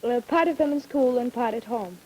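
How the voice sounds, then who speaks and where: A woman's voice: fairly high pitch, fairly quick, a little mellow, a little hiss or hum, low-quality recording. A teenage girl from Brazil, giving short, plain answers as a student panelist. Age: teens.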